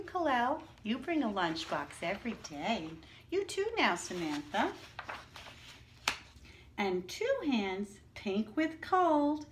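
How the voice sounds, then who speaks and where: A woman teacher reading a picture book aloud to young children, stopping to explain words and ask the class questions. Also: mid-range voice. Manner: slow, clear, expressive teacher voice, asking the class questions. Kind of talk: children's story read-aloud with teaching asides.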